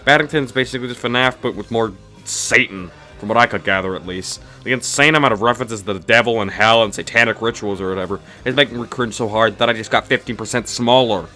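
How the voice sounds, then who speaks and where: A man's voice: fairly low pitch, fast, few pauses, bright, forceful tone, soft background music. A man narrating a scripted comedic review in a snarky, dismissive, mock-exasperated way. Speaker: video reviewer, host of a review series.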